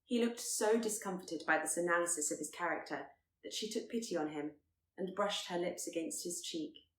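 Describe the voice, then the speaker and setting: Low-voiced woman narrator in an echoey room. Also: moderate pace, a bit bright. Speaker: audiobook narrator. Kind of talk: scripted narration of a period romance story.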